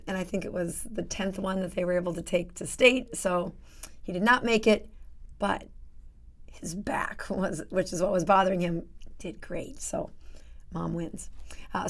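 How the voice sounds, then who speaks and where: A middle-aged woman doctor with a raspy voice that is going hoarse, chatting casually as host of her live stream with many fillers. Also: medium pitch. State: losing her voice. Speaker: doctor hosting a live show.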